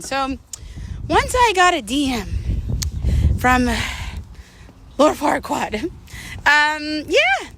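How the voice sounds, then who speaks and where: A woman's voice: on the high side, sharp tone, slight background noise. A middle-aged woman telling a personal story casually, in a candid, chatty, rambling way peppered with "like" and "you know". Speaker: storytelling vlogger.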